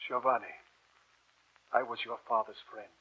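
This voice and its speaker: A man's voice: mid-range voice, level tone, slightly rough, close to an everyday voice. An actor playing a concerned older professor, an earnest and solemn fatherly mentor, in a 1940s radio drama style. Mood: grave, earnest. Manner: earnest, deliberate, solemn warnings. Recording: muffled vintage 1940s radio recording.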